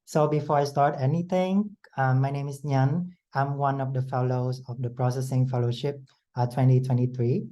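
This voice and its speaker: Give me a nervous man presenting on a video call, in a dark mellow voice. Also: medium pitch, steady tone. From Vietnam. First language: Vietnamese. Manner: nervous, with halting non-native phrasing. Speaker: educator, fellowship presenter. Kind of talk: online presentation.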